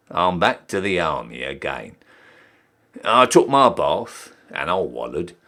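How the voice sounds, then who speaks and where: Man acting a cheeky old-style British soldier, wildly animated. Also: low-pitched, slow, voice pushed down, exaggerated voice. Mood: stirred, proud. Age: young adult. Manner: theatrical, emphatic, swooping delivery. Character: cheeky down-at-heel ex-soldier re-enlisting, Victorian British army ranker. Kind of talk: dramatic poetry recital.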